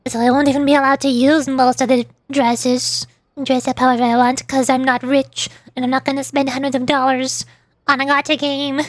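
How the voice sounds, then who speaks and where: A woman's voice: sits high, little pitch movement, normal speed, no echo, clean studio sound. A woman streamer chatting casually and ramblingly about games, often repeating words as she goes. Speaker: streamer and VTuber.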